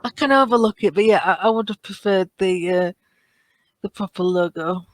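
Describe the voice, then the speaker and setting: A woman chatting casually as a podcast panellist, choosing her words in a hesitant, measured way. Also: medium pitch, no room sound.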